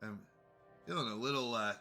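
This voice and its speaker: A man with a bright, forward voice talks casually through a live game session, sounding a little congested. He chats with viewers between moves, with faint music under him. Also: mid-range voice, normal speed. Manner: chatty, greets chat viewers. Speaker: streamer.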